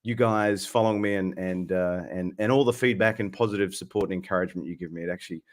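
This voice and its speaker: An Australian man in his thirties, hoarse from a cold, hosting a chatty livestream with lots of fillers. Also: deep voice, fairly even intonation, fast talker. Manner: friendly, chatty, many fillers. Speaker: host. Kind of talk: casual livestream chat.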